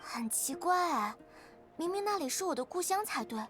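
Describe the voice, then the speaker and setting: High-voiced, curious young game heroine. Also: female voice, animated, moderate pace, a bit bright. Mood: wistful. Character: bright, bookish, adventurous young woman, earnest and curious. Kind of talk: anime-style game voice acting. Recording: clear game music behind. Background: game music.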